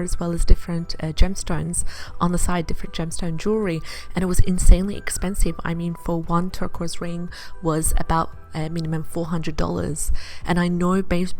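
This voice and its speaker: A woman from Iraq narrating her vlog in a chatty, enthusiastic way, pausing often with "uh". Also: lowish voice, darkish tone. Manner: chatty, enthusiastic, with frequent "uh" pauses. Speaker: vlogger.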